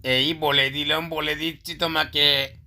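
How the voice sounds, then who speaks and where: Guy doing a bossy angry cartoon fox, sharp bright voice, drags words out, threatening. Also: fairly high pitch, level tone. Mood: menacing. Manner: threatening, scolding, drawn-out words. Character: angry, possessive plump male fox guarding his orchard. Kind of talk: cartoon character voice.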